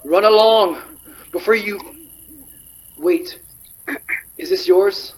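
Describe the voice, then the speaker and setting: An actor playing an anxious, decent Spanish gentleman in a radio drama, pleading and stammering. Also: high-pitched, takes it slowly, a little hiss or hum, poor audio. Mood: urgent.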